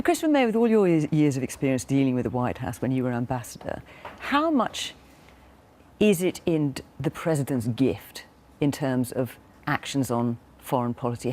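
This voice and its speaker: A woman presenting a news panel, putting probing, measured questions to her guests. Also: very deep voice, darkish tone. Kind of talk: broadcast news panel interviewing.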